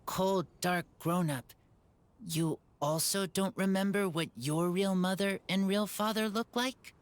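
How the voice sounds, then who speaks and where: Slow, halting, earnest wild boy voiced by an actor. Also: medium pitch, lightly acted. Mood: subdued, sincere. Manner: halting, broken simple phrases. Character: earnest young wolf-raised boy, shy and loyal. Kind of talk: video game voice acting.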